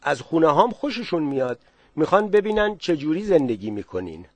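A man's voice: a little high, very animated, no room sound, pitched above the performer's own voice. A man doing a sly, cheerful guide. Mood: coaxing.

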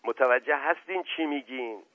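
A man's voice: medium pitch, narrow, tinny audio, a little hiss or hum, voice pushed up, lightly acted. Man doing a stern, scowling patriarch. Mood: indignant. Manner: stern, indignant.

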